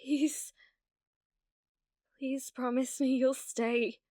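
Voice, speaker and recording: Close and dry, this is a high British female voice, a woman acting as a clingy, delusional young streamer in an ASMR roleplay. She pleads slowly and haltingly, stumbling over words. Her delivery swings from breathy whispers to desperate outbursts. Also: flat delivery, warm tone, no room sound. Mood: soft, desperate. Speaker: ASMR voice actress.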